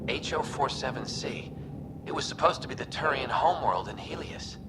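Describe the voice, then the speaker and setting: A man acts out a male alien soldier in a sci-fi video game. He sounds gruff, intense and driven, with a medium-pitched voice over clearly audible background ambience. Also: expressive, normal speed, heavy background noise, natural voice. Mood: grim. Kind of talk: video game cutscene acting.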